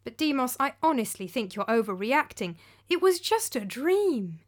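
A woman voicing a calm, sensible man who gently but firmly talks a friend out of panic. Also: high voice, moderate pace. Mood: reassuring. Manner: calm, gentle, firm reassurance. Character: calm, sensible older male host.

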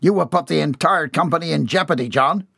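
A man acts out a stern old shipping boss for an audiobook. He is forceful and accusing, with a bellowing streak and a voice pushed up from a normal male pitch. The sound is close and dry. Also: a little fast, gravelly, breathy edge, no echo, full character voice. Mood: stern, urgent. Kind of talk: dramatised audiobook dialogue.

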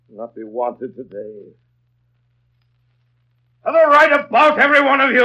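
An actor playing an imperious, tormented gentleman in love, with intense theatrical delivery in a 1930s radio drama. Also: extremely high pitch, full character voice. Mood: furious. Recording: muffled vintage 1930s radio recording.

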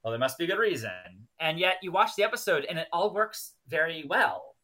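Guy cohosting a podcast, bright clear-cut voice, chatty recap w/ wry asides. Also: fairly high pitch, very animated, fairly quick. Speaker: podcast co-host. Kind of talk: casual chat and episode recap.

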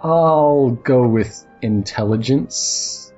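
A man running a tabletop game as game master, narrating casually and haltingly in a conversational way. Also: medium pitch, a little mellow, muffled recording. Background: music.

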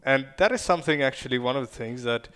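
A man's voice: mid-range voice, lively intonation, fast talker, a bit bright, clean tone. Chatty man telling stories. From Ukraine. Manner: chatty storyteller, laughs often. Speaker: guest. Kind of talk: casual podcast chat.

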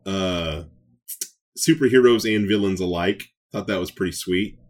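A man's voice: low voice, moderate pace. A man co-hosting a podcast in casual, slangy, informal banter. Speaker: podcast co-host. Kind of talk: casual banter reviewing movies and shows.

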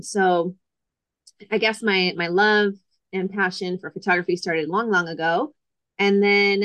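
Thirtysomething lady guest, easygoing and rambly, lots of ums, dry no-echo sound. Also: lowish voice. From Connecticut, USA. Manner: relaxed and chatty, with many ums. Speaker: guest, photographer. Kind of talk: casual interview.

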